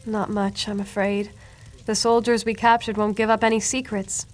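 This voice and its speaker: A woman's voice: medium pitch, flat delivery, normal speed, soft background music, natural voice. An actress voicing an earnest, compassionate noblewoman and healer who leads with a sense of duty in a video game. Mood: concerned. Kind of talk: video game character dialogue.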